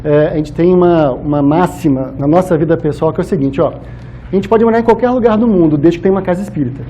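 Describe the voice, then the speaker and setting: High-pitched, very mellow Brazilian man lecturing. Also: quick pace, a little hiss or hum. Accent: Brazilian Portuguese, Minas Gerais. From Minas Gerais, Brazil. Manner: conversational, colloquial, with frequent tag questions. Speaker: speaker at a spiritist society. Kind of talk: informal religious lecture to an audience.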